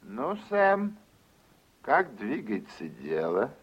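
A man acting a scheming, insistent senator in a radio play, speaking deliberately and insinuatingly. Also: medium pitch, wildly swooping pitch, highs cut off, slight background noise, heavily acted. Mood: insinuating pressure. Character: scheming senator, smooth, insistent male political patron.

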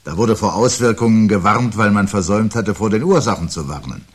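An actor playing a weary, sardonic husband in a 1970s German radio drama, speaking deliberately and rhetorically. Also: male voice, on the low side, animated, breathy edge. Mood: bitter irony. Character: weary, bitter, sardonic husband awaiting catastrophe.

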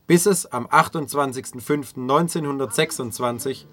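A man's voice: mid-range voice, normal speed, a bit bright. A man reading scripted documentary narration for a radio feature.

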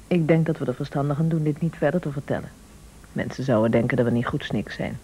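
Een actrice in een Nederlands hoorspel speelt een sluwe, praktische echtgenote die bazig en vleiend aandringt. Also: female voice, low voice, quick pace, warm tone, a little hiss or hum, lightly acted. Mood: conspiratorial. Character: shrewd, scheming, persuasive wife, practical and bossy. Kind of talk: Dutch radio play acting.

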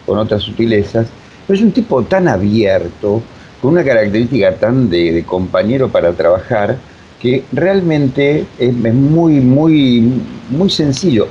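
A man's voice: animated, a little slow, dark tone. A man with a Rioplatense Argentine accent talks casually as an interviewed director, rambling emphatically and searching for words. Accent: Rioplatense Argentine Spanish. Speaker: radio drama director being interviewed. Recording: muffled, low-fi with faint noise.

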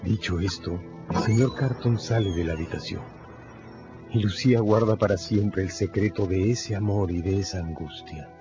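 A man's voice: low voice, normal speed. A man narrating a radio drama in a scripted, dramatic storytelling style. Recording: dull lo-fi audio under music.

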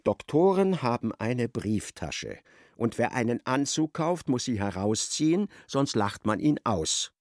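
A man narrating a radio play as literary storyteller, in a measured and wry manner. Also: mid-range voice, very animated, no echo, studio quality.

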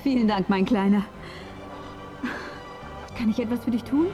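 An actress playing a bold, flirtatious prostitute of about thirty in a radio drama. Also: female voice, medium pitch, normal speed, music in the background, close to an everyday voice. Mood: grateful, inviting.

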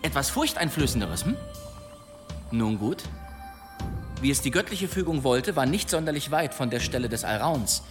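A man's voice: medium pitch, animated, normal speed, pauses often, over music, close to an everyday voice. A man playing a boastful demon hunter in a radio play, telling tall tales in a florid, pompous manner. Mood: ominous, theatrical. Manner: theatrical, florid, pompous storytelling. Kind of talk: German radio play acting.

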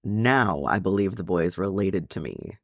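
A man's voice: deep voice, lively intonation, normal speed, fairly bright tone, dry recording, studio quality. A man voicing a proud, skeptical older dwarf lord in an audiobook story, with a formal, disapproving manner. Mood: grudging approval.

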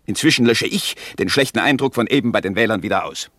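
An actor in a German radio play voicing a scheming, excitable political campaigner. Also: male voice, lowish voice, fast, lightly acted. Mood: urgent, scheming.

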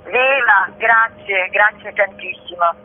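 A woman answering as an interview guest, reflective and halting as she searches for words. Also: mid-range voice. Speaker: stage actress, interview guest. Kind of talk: spoken interview. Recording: noisy, tinny phone line.

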